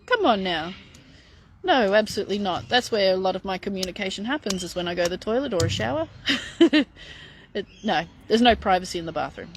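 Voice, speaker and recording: A woman's voice: medium pitch, expressive, fairly quick, a little hiss or hum. A middle-aged woman chatting frankly as she answers viewer questions, with lots of fillers and restarts. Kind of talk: casual live Q&A.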